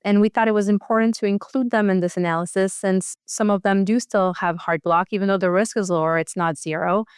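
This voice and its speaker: A woman's voice: medium pitch, fairly even intonation, a little fast, hardly any pauses, clear, resonant tone, no room sound, studio quality. A woman speaking as a physician researcher and interview guest, explaining clinical details in a matter-of-fact, conversational way.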